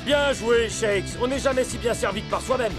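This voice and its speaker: A man playing an excitable football commentator in a cartoon, announcing the match dramatically. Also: very high voice, moderate pace, over loud music. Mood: excited.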